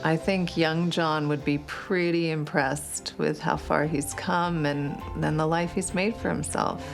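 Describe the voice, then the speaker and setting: A woman's voice: low-pitched, steady tone, unhurried, vocal fry, faint music behind. A woman giving personal recollections in a documentary interview.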